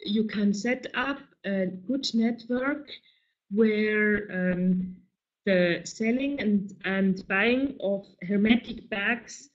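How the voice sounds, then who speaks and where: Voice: mid-range voice, very slow. A webinar moderator who asks polite questions with many hesitant fillers, speaking over a video call. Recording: dull lo-fi call audio.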